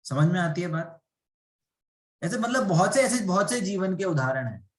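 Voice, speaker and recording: A man leads a spiritual class, explaining step by step and checking in with rhetorical questions. His voice sits a little high for a man, and the recording carries a noticeable room echo. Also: very animated, moderate pace. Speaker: teacher. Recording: echoey room.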